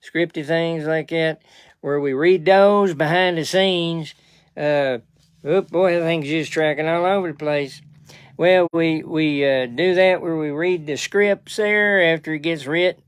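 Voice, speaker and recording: A man plays a chummy, bumbling country good ol' boy from a comedy podcast. The rural Southern US drawl is a bit high, clear and ungrammatical, with a slow-witted friendliness. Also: no echo, studio quality, close to an everyday voice. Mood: bumbling, cheerful. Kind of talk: comedy podcast character improvisation.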